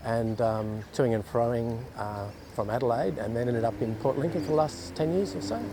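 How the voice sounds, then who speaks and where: A man's voice: mid-range voice, normal speed, gravelly, background noise. A man with an Australian accent, speaking reflectively in a documentary interview.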